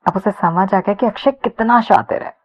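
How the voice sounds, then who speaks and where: A woman's voice: mid-range voice, normal speed. A woman narrating a romance story in a conversational storytelling style. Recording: dull lo-fi sound.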